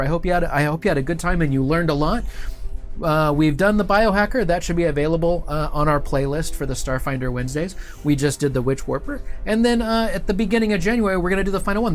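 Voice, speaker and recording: Brief: middle-aged male show host, rambling friendly outro, lapel mic in a small studio. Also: fairly high pitch. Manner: loose, filler-heavy, friendly sign-off. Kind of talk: casual live-stream outro.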